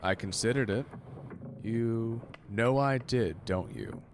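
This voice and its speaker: An actor playing volatile, mocking men in a theatrical audio drama, with faint noise behind. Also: male voice, mid-range voice, lively intonation, takes it slowly. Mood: bitter, weary.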